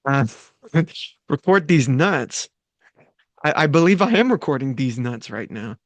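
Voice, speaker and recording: A man's voice: mid-range voice, expressive, measured pace, no echo. A man hosting a table read, moving between casual, playfully crude banter and reading out stage directions. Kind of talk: casual, joking group chat and script narration.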